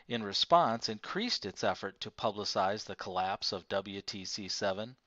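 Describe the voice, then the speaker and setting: A man's voice: mid-range voice, a bit bright, dry recording, dull audio. A man narrating nonfiction as an audiobook reader, reading from a prepared text.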